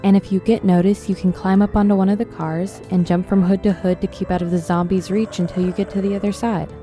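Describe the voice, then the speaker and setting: A young woman playing a tough, sardonic zombie killer in an audio drama, with a deadpan, teasing, brisk delivery. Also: medium pitch, faint music behind, lightly acted. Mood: cocky, coaching. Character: tough, sardonic twenty-five-year-old woman, cocky zombie killer. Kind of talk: audio drama acting, casual dialogue.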